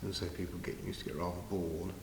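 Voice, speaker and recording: A man's voice: low-pitched, fairly even intonation, quick pace, gravelly, darkish tone. An older man reminiscing unscripted as an interviewee. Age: 50s or older. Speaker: interviewee, long-time pantomime band member. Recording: echoey hall, long reverb, faint noise.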